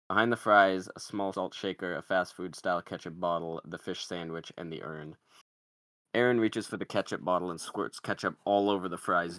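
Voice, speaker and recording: A man's voice: deep voice, fairly bright tone, no room sound. A man narrating the stage directions of a comedy screenplay in a scripted read.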